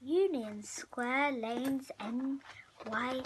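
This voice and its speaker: A child reading a picture storybook aloud expressively, acting out the hero and halting over some words. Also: sits high, heavy background noise. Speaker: story reader.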